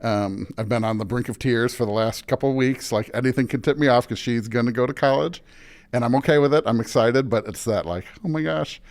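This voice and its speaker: A man's voice: medium pitch, brisk, a little mellow. A middle-aged man with a Midwestern American accent, talking casually and anecdotally as an interview guest, often dropping in "like". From suburban Illinois, United States. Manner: chatty, anecdotal, frequently says "like".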